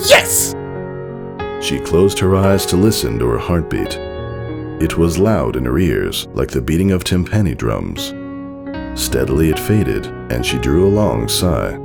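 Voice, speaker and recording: Low, creaky man narrating a story. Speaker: fan fiction narrator. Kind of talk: dramatic scripted story narration. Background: music.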